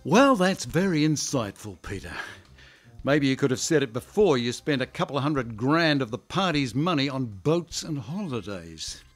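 An actor playing an exasperated male party official who speaks with dry sarcasm and strained calm. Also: mid-range voice, moderate pace, faint music behind. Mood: sarcastic.

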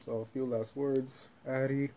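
A man's voice: mid-range voice, little pitch movement, a little slow, mellow. A young man talking casually in a meandering, hesitant, offhand way, like an amateur vlogger on a handheld phone in a small bedroom. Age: young adult. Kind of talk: casual rambling vlog talk. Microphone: handheld phone at arm's length. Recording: noisy phone recording with clear hiss.

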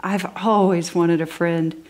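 A woman's voice: fairly low pitch, steady tone, unhurried, darkish tone, natural voice. A woman voicing a small male teddy bear in a storybook, innocent and wistful, with a naive, earnest sense of wonder.

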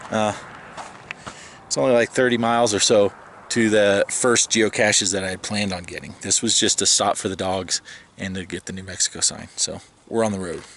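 A man's voice: lowish voice, steady tone, normal speed, fairly bright tone. Chatty American man, noisy camera audio. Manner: informal, chatty, explanatory. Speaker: vlogger. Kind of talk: casual geocaching vlog narration. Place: car interior and open roadside outdoors. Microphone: handheld camera and dash cam.

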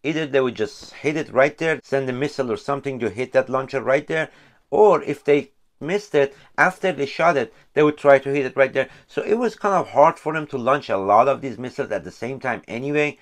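A man's voice: mid-range voice, expressive, fairly quick. Persian-accented man in his forties, host giving casual explanatory commentary to a home camera. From Iran. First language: Farsi. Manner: explanatory, gestures freely, restarts often. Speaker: channel host. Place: indoors at home in front of a sofa.

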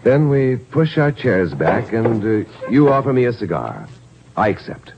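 An actor playing a suave, steady lawyer hero with measured, controlled delivery in a 1950s radio drama. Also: male voice, mid-range voice, gravelly. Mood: tense, controlled. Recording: dull, hissy old radio recording.

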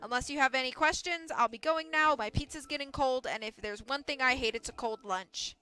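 A blustering storybook mill boss, played by a woman, bright and forceful. He is brusque and bossy, leaning hard on his words and thoroughly pleased with himself. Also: high-pitched, a little fast, clear, resonant tone, faint music behind. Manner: brusque, loud, emphatic. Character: blustering, bossy mill owner, a self-satisfied man.

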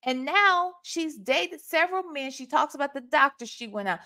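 Woman, forties, Southern US accent, emphatic sassy talk, slightly high voice, home webcam setup. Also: normal speed, pushed and bright. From Ohio, United States. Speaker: reaction video host. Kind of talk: casual commentary on a played call. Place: small room at home, indoors. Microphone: webcam with desk microphone.

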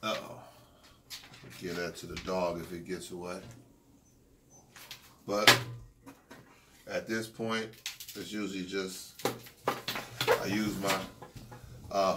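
Low, flat-voiced man filming outdoors. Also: takes it slowly, pauses often. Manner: relaxed, rambling, with fillers and chuckles. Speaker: dog kennel owner. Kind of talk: casual how-to vlog. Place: outdoor backyard patio. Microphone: phone camera from a few feet away. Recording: distant phone mic, noisy outdoors.

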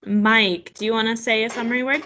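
A woman's voice: on the high side, steady tone, a little fast, very noisy. A woman teacher reading a story aloud and guiding children through an online lesson on a video call.

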